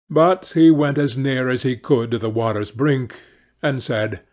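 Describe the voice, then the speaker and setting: Slow, lively male storyteller. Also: medium pitch. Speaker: audiobook narrator. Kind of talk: scripted fairy-tale narration.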